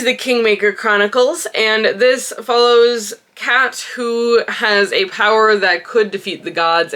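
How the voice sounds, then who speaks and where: A woman's voice: medium pitch, sharp tone. A woman with a cold, sounding stuffy and sniffly, chatting casually to camera about books and correcting herself as she goes. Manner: chatty, thinks aloud with small self-corrections. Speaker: book channel host. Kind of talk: casual book chat to camera.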